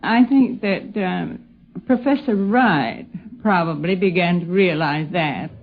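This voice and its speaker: An older woman with an American accent, reminiscing in a measured, matter-of-fact way in a dull 1950s radio interview. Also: lowish voice. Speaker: interviewee recalling an old acquaintance. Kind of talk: unscripted reminiscing.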